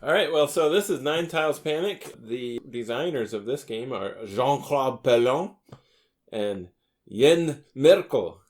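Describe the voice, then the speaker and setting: A man's voice: medium pitch, expressive, unhurried. A man co-hosting a podcast, talking casually about board games in a playful, joking way. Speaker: podcast co-host.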